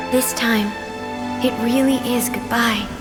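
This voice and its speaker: A woman voicing a gentle, serene former ruler in a video game, speaking in a soft, solemn and tender way. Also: a little high.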